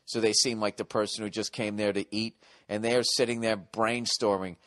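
A middle-aged American man hosting a podcast, ranting sarcastically with lots of swearing, going loud then quiet. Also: fairly low pitch, flat delivery, moderate pace, no echo. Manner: profane, sarcastic ranting, swings loud and soft. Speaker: podcast host. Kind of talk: ranting solo podcast monologue.

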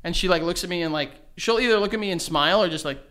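A man's voice: high voice, very fast, pushed and bright, smooth. A man in his thirties co-hosting a casual podcast chat from a small home studio, rambling and swearing as he acts out scenes with his kids. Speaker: podcast co-host. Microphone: studio mic on a desk stand, headphones.

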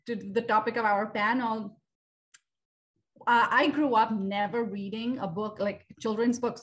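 Woman, 30s, from India, author on panel, video call, casual, animated, fillers, mid pitch. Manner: animated, gestures with hands, many fillers. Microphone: video call webcam.

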